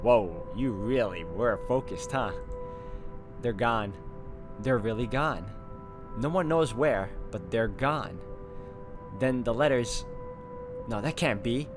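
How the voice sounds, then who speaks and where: A man's voice: mid-range voice, slow, long pauses. Man reading aloud over game music. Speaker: video game let's player. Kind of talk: reading visual novel narration aloud with off-the-cuff reactions.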